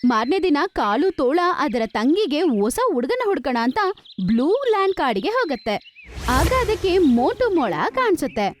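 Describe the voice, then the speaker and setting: A woman's voice: high voice, very animated, moderate pace, background noise. A woman giving scripted narration for a children's cartoon story. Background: music.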